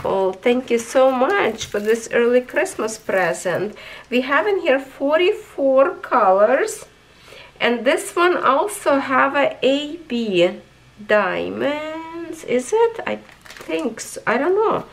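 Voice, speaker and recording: Slow, high-voiced hoarse woman reviewer. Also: sing-song, poor audio. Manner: chatty, enthusiastic, talks to viewers as friends. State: hoarse, losing her voice. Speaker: craft reviewer. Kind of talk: casual unboxing review. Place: indoors at a tabletop.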